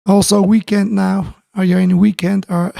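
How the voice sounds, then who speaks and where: Gravelly, mellow man. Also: high voice, flat delivery, dry recording, clean studio sound. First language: Dutch. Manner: halting, many fillers and restarts, greets chat viewers. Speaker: live-stream host. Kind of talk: casual live-stream chat. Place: home music studio.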